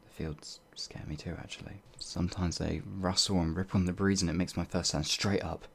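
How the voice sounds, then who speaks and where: Man voicing a nervous werewolf, deep husky voice, meek, hesitant, rambling apologies, audio roleplay. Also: fairly even intonation, normal speed, fairly bright tone, heavily acted. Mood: meek, fearful. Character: timid, anxious, apologetic young male werewolf farmhand. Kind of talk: ASMR audio roleplay monologue.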